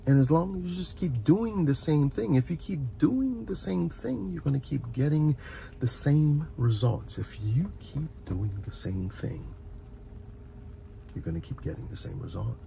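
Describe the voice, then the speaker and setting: A man's voice: fairly high pitch, animated, measured pace, creaky, warm tone, a little hiss or hum, low-quality recording. A man giving a rambling, motivational ASMR talk in an earnest, encouraging way, repeating phrases for emphasis. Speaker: ASMR video host.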